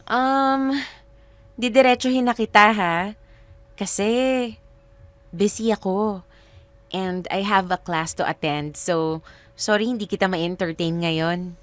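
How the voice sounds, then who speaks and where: A woman's voice: medium pitch, dry recording, lightly acted. A woman with a Filipino accent acting a poised, blunt young college student in a radio drama. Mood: cool, blunt. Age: young adult. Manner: deliberate, cool, mixes Tagalog and English. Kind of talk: radio drama dialogue in Taglish. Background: background music.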